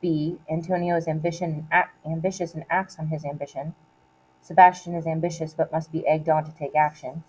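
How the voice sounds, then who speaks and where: A woman reading aloud like a teacher giving a test, in a measured, deliberate way with short, separated phrases. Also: sits low, little pitch movement, a little mellow, slight background noise, low-quality recording. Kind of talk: read-aloud of multiple-choice questions and quoted play lines.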